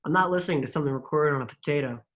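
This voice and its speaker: A woman's voice: deep voice, racing, natural voice. A woman playing a fun-loving, wild brother in his twenties with a breezy, slangy manner, in a screenplay table read. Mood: teasing. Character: fun-loving, wild, laid-back 24-year-old brother.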